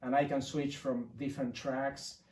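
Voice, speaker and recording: A roomy, distant recording with clear ambient noise carries a man from Argentina. He explains things casually in a halting manner, at a middling pitch, as an interview guest. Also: little pitch movement, a little mellow. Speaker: interview guest, composer and sound engineer.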